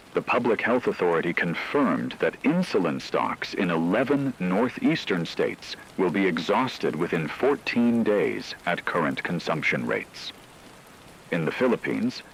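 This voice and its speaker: A man playing a grave radio news anchor, reading a scripted emergency bulletin in a formal, measured, solemn way. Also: deep voice, a bit bright, a little hiss or hum, rough recording. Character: grave male shortwave radio newscaster reporting global catastrophe.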